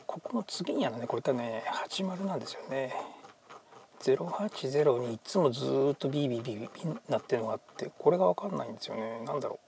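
A man's voice: mid-range voice, expressive, fast talker, creaky, background noise. A man giving casual vlog narration as a ham radio hobbyist, often muttering hesitantly to himself. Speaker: amateur radio hobbyist and vlogger.

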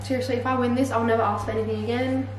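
A woman's voice: a little high, level tone, background noise. A young woman chatting casually to a handheld camera in a small room at home. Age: young adult. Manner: chatty, quick, trailing into quiet asides. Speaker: vlogger. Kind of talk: casual vlog chat to camera. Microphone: handheld camera close to face.

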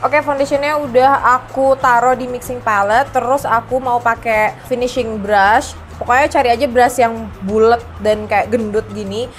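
A woman's voice: a little high, fairly even intonation, moderate pace, hardly any pauses, soft background music. Stuffy-nosed young woman chatting a makeup tutorial. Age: young adult. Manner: chatty, casual, self-deprecating asides. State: stuffy nose from a heavy cold. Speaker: beauty vlogger. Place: small indoor room at home, rain outside.

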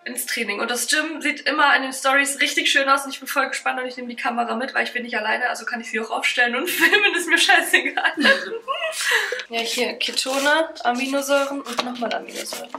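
A woman's voice: sits high, fast, vocal fry, pushed and bright, echoey room. A young woman in her twenties chatting casually to her camera at home, laughing often. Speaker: vlogger. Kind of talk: casual vlog chat. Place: apartment indoors. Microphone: handheld camera at arm's length.

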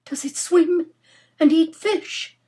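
Woman as an anxious young niece, high and agitated. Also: slow pace, mellow. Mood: anxious, hesitant. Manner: agitated, pleading, breathlessly urgent.

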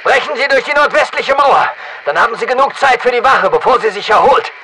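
An actor playing a battle-hardened special forces soldier in a shooter game, giving clipped, commanding callouts over military radio. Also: male voice, very high voice, lively intonation, fairly quick, pushed and bright, slight background noise, exaggerated voice, low-quality recording. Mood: focused.

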